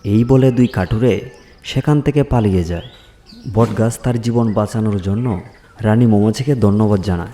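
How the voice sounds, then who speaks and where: A man's voice: on the low side, normal speed, dark tone. A man narrating a scripted fairy tale for children as a storyteller.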